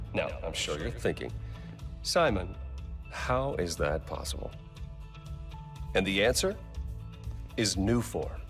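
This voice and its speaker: An actor playing a slick male corporate executive who gives a polished sales pitch. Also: recorded from a distance.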